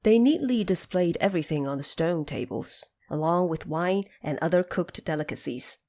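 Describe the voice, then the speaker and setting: A woman storyteller giving a dramatic, expressive scripted narration of a tale. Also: lowish voice, normal speed. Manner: expressive, theatrical.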